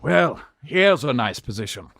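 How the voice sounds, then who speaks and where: A man's voice: medium pitch, very animated, measured pace, vocal fry, a bit bright, no room sound, studio quality, full character voice. A man playing an indignant, flustered young fellow posing as an old lady in a Victorian farce radio play.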